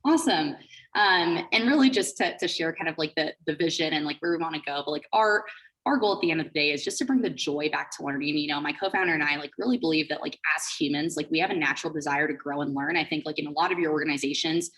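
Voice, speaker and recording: A woman's voice: sits low, fast, fairly bright tone, low-quality recording. A woman co-founder giving a conversational presentation, explaining things informally with plenty of "like" and "you know". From Switzerland and the Bahamas. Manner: informal, explanatory, peppered with 'like' and 'you know'. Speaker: startup co-founder presenting as guest speaker.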